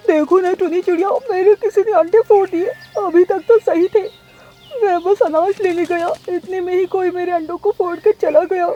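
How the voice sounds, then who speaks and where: A woman's voice: extremely high pitch, fairly even intonation, moderate pace, warm tone, soft background music, voice pushed up. A woman voicing a distraught male pigeon in a cartoon story, plaintive and lamenting bitterly. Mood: grieving.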